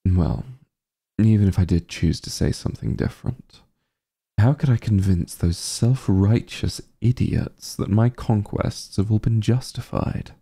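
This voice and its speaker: An evil sorcerer king, acted by a man for an ASMR roleplay, vain and openly disdainful. His voice is dark and mellow, heavily creaky, and he drawls slowly with pompous, sardonic exasperation. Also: fairly low pitch, full character voice. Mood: vain, indignant. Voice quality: gravelly, breathy.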